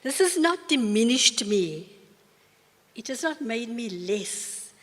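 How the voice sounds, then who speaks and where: An older woman with a South African accent giving a formal acceptance speech in a large university hall, measured and earnest. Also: on the high side, big pitch swings, a bit bright. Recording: long reverb of a large hall.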